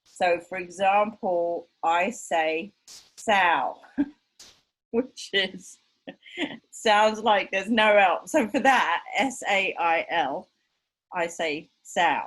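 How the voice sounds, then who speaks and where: Woman, Estuary English accent, slightly low pitch; casual, self-mocking lecturer on a video call. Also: drawn-out words, pauses often, fairly bright tone. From England. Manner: chatty, self-deprecating, with many fillers. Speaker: linguistics lecturer. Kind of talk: online lecture.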